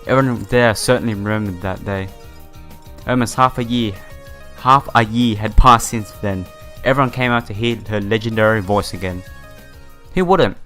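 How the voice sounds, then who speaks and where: A man's voice: fairly low pitch, normal speed, a bit bright. A man doing casual, informal playthrough commentary, reading story text aloud and talking to his viewers. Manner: informal, addresses viewers directly. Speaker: let's play host. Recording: music playing behind the voice. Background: game music.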